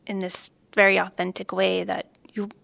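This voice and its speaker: Woman in her thirties with a gravelly edge. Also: medium pitch, monotone, moderate pace, fairly bright tone, dry recording. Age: 30s. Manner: curious, asks questions. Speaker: PhD co-advisor, interviewer. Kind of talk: casual conversation.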